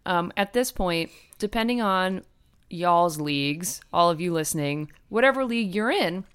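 A woman's voice: lowish voice, normal speed, clean tone. Chatty young woman podcast co-host. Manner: chatty, animated, swears casually. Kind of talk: casual chat.

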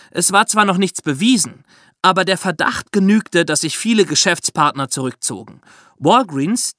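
A man's voice: a little high, bright, forceful tone, no room sound, clean studio sound. A young man co-hosting a podcast, explaining in scripted narration. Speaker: podcast co-host.

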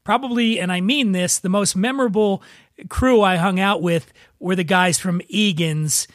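A man's voice: sits high, moderate pace, a bit bright, no echo, studio quality. A man hosting a podcast over a video call, talking in a loose, joking, rambling way. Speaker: podcast host. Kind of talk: casual chat on a video call.

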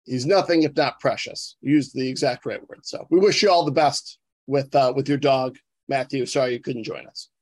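A man speaking in a deadpan way on a podcast, as a contributor talking and reading aloud. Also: mid-range voice, moderate pace.

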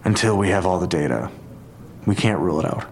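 An actor in an audio drama playing a deliberate, analytical male police detective. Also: low-pitched, vocal fry, over music. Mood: measured, speculative.

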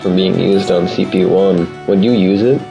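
A man's voice: low-pitched, fairly even intonation, normal speed, creaky. A man presenting an informal podcast segment on a dull lo-fi recording. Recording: dull lo-fi audio. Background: loud guitar music.

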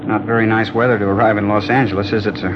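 An actor playing an overly polite, nosy hotel bellboy in a 1950s radio drama. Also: male voice, on the low side, little pitch movement, fast talker, natural voice. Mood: fawning. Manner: overly polite, fawning, keeps saying sir. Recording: noisy lo-fi old radio broadcast.

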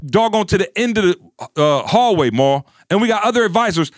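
A man's voice: high-pitched, animated, normal speed, no room sound, studio quality. A man co-hosting a casual podcast, arguing his points emphatically and acting out quotes. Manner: emphatic, quotes people in voices. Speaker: podcast co-host. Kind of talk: casual debate chat.

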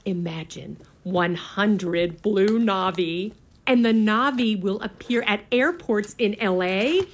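Eager female ad pitcher, comedy drama: slow, declamatory, salesy. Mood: grand, eager. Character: grandiose, eager advertising executive woman pitching.

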